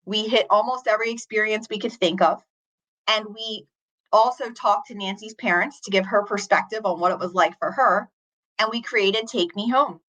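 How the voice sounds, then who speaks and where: Woman guest, 40s, earnest and reflective storyteller, bright clear tone. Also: medium pitch, moderate pace, poor audio. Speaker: teacher and author, podcast guest. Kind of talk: storytelling in an interview.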